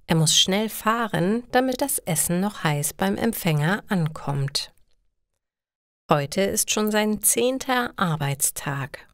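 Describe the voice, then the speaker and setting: A woman narrating a scripted story for language learners in short, deliberate sentences. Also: medium pitch, no room sound, clean studio sound. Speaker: narrator of stories for German learners.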